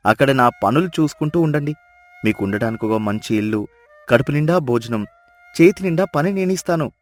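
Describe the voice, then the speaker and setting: A man's voice: medium pitch, animated, fairly quick, lightly acted. A man playing a well-off, emotional village brother-in-law in a dubbed moral-story cartoon, with earnest, emphatic delivery. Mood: grateful, commanding. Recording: music behind the voice.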